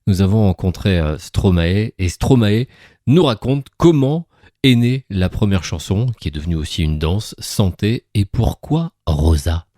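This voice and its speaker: A man presenting on radio, introducing interview clips and addressing listeners directly in a somewhat halting way. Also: lowish voice, expressive, warm tone, dry recording, studio quality. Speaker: radio host.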